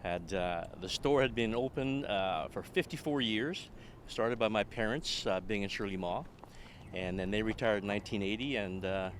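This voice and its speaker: A Canadian man in his late sixties, a retired shop owner, telling stories about his family business in a relaxed way and laughing at his own jokes. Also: lowish voice, normal speed, a little hiss or hum. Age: older. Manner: chuckling, self-deprecating. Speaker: guest. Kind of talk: casual storytelling.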